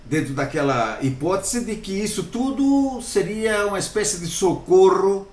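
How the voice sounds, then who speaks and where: A man's voice: sits high, animated, measured pace, echoey room. A man interviewing a lawyer, framing long questions hesitantly and restarting words.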